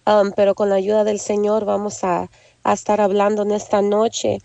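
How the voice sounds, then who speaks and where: A woman preaching on a phone call, her voice hoarse and gravelly. She speaks humbly, pausing on soft fillers and saying amen often. The tone sits dark and dry. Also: medium pitch, completely flat delivery, no room sound. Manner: humble, many "am" fillers, frequent amens. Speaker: guest preacher.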